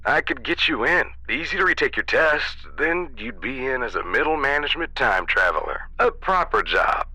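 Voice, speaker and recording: A man's voice: medium pitch, very animated, normal speed, sharp tone, breathy edge, heavily acted, poor audio. An actor playing a pushy, condescending middle-aged father who lectures his grown child in an audio drama. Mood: persuasive. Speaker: protagonist's dad.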